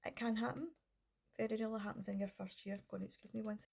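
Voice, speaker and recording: A woman's voice: medium pitch, fairly even intonation, fast, vocal fry, a little mellow, slight background noise. Stuffy-nosed woman, chatty and rambling. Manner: rambling, chatty, self-interrupting. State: congested with a cold. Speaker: business coach and counsellor. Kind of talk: casual vlog talk to camera.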